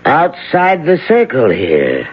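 An actor in a radio drama playing a pompous, sinister philosophy professor and master of Satanism, with pedantic, theatrical delivery. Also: male voice, mid-range voice, wildly swooping pitch, slow pace, slightly rough, full character voice. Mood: stern. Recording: muffled old radio broadcast.

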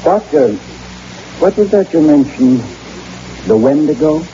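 A man's voice: on the high side, animated, unhurried, dark tone. An actor playing an anxious, earnest young man on a hunting trip, hesitant and troubled, in an old radio drama. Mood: puzzled. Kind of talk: old-time radio drama acting with first-person narration. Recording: muffled, hissy vintage radio drama recording.

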